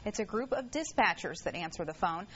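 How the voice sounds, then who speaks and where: A woman's voice: on the low side, animated, a little fast, a bit bright, highs cut off, slight background noise. An American woman presenting a scripted television news report.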